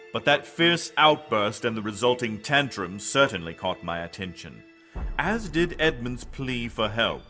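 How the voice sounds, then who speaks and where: A pompous dark sorcerer villain from a fantasy audio drama. He speaks slowly and forcefully, savoring his words with swooping, theatrical pitch. His manner is gloating and scheming. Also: heavily acted.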